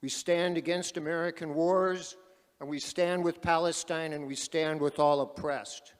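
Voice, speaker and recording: A man moderating a panel at an activist conference, speaking loudly and calling out to the crowd like a rally speaker. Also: steady tone, unhurried, clean tone. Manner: loud, booming, calls out to the crowd. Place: large conference hall. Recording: reverberant hall.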